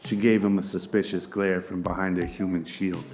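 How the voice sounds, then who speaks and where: Male narrator, scripted audio drama, low voice, flat intonation, music behind. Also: normal speed.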